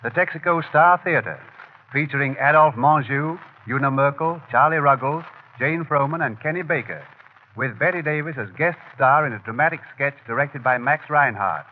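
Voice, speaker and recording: A man's voice: fairly high pitch, normal speed. A man reading a formal promotional announcement in 1930s radio style. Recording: tinny, noisy 1930s radio recording.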